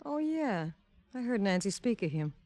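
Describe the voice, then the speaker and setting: A woman's voice: medium pitch, big pitch swings, moderate pace, dark tone, close to an everyday voice. A woman playing a cool, scheming femme fatale in an old radio detective drama, casual on the surface, sly and mocking underneath. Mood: feigned small talk. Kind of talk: 1940s-50s radio detective acting.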